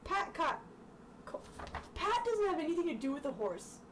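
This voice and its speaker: A woman's voice: very high voice, very animated, normal speed. A young woman reads a children's book aloud informally, dropping in casual asides and stumbling over the text. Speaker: storytime reader. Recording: distant mic, clearly noisy room sound.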